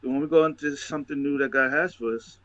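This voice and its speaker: A man hosting a casual video-call chat, talking in an animated, repetitive way about faith. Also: mid-range voice, quick pace, dry recording, muffled recording. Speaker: podcast host.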